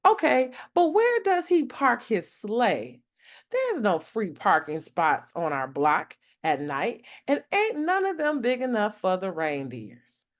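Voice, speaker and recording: A woman's voice: medium pitch, very animated, slow. A woman voicing an earnest, curious little girl in a picture book, with a wondering, questioning manner. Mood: curious, worried.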